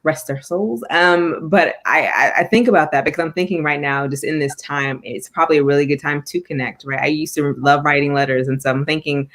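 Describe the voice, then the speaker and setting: A woman guest on a talk show, speaking with a notably low voice. She chats casually and emphatically, with an easy, lively delivery. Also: fairly quick, few pauses. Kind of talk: casual chat.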